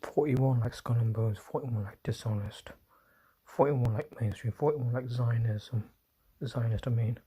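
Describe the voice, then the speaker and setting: A man hosting a solo livestream, talking low and often whispering, with restarts and apologies as he reads and comments. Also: a little slow, warm tone, breathy edge. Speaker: livestream host. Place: indoors at home, quiet room. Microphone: phone.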